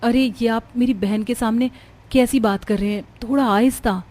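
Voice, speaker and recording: A young woman voicing an emotional married sister in a cartoon family drama, with expressive delivery. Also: a little high, moderate pace, close to an everyday voice. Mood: wistful, troubled.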